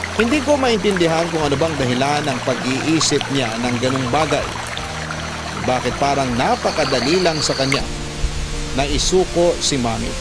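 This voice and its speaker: A man giving earnest, dramatic scripted narration of a personal story. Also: a little high. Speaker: narrator reading a letter sender's love story. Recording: loud music under the voice. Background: loud music.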